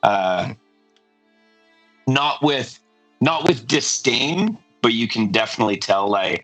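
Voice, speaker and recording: A man, a player in a tabletop game, describing what his character does, thinking aloud with many pauses and fillers. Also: medium pitch, sing-song, a bit bright, no echo, soft background music, low-quality recording.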